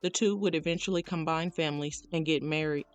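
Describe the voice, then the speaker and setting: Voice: mid-range voice, fairly even intonation, fairly quick, clean tone, no echo, soft background music. A narrator reading a scripted true crime documentary account.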